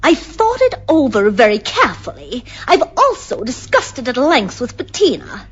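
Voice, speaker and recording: An actress playing a haughty, self-absorbed middle-aged wife in a radio drama, condescending and theatrical. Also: female voice, high voice, wildly swooping pitch, normal speed, slightly rough, full character voice. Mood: haughty, resolved. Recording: dull lo-fi radio recording.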